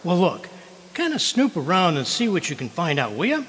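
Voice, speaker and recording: A man's voice: high voice, wildly swooping pitch, moderate pace, fairly bright tone, heavily acted. A man playing a blustering, fibbing, folksy husband in old-time radio comedy, stammering when cornered. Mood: puzzled, conspiratorial. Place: live stage show in a community hall. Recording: reverberant hall stage performance.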